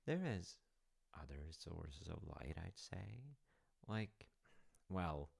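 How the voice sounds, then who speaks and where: A man playing a gentle, reflective dad in an unhurried, intimate audio roleplay chat, gravelly and at times breathy. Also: extremely low pitch, heavily acted. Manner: reflective, gently encouraging, pausing. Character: gentle wholesome dad chatting warmly over tea.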